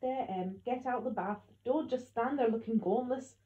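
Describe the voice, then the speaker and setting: A woman's voice: mid-range voice, fairly even intonation, moderate pace, warm tone, dull audio, natural voice. A woman voicing a cross, brusque old grandmother in an audiobook, snapping and scolding.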